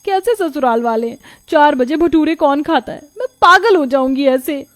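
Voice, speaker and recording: A woman's voice: high voice, expressive, moderate pace, fairly bright tone, no echo. A woman voicing a young, overworked, exasperated daughter-in-law in a cartoon story, with a dramatic complaining manner. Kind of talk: Hindi cartoon story voice acting.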